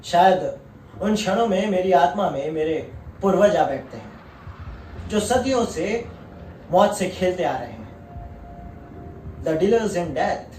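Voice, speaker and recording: A male actor playing a proud, merciless war-veteran colonel in a stage monologue, grave and deliberate, drawing out key phrases for emphasis. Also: high voice, expressive, dark tone, a little hiss or hum. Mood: intense, brooding. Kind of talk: dramatic theatrical Hindi monologue. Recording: echoey room, distant mic, faint music.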